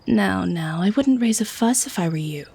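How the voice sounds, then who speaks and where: Sly, mellow-voiced lady vampire played by an actress. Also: medium pitch, animated, normal speed, vocal fry, a little hiss or hum. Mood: quietly menacing. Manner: coaxing, silky, genteel, mock-sweet. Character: sly, teasing, predatory lady vampire feigning harmless friendliness. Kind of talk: scripted ASMR audio roleplay.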